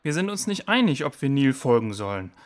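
A man's voice: medium pitch, very animated, a little fast, lightly acted. A man playing a cautious, dutiful jungle station worker in a children's audio play, firm and reasoning in delivery.

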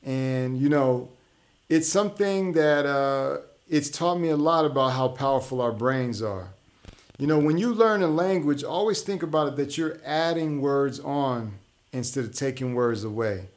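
A man's voice: mid-range voice, a little slow. A man hosting his own show, talking through ideas in a casual monologue with frequent restarts and fillers. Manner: conversational, explanatory, many restarts and fillers. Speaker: show host.

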